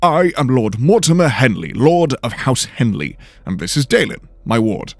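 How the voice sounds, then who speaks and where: A man's voice: medium pitch, very animated, normal speed, vocal fry, no echo, pitched above the performer's own voice. A man voicing a pompous, fussy older nobleman in a fantasy audio drama, speaking formally. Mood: self-important.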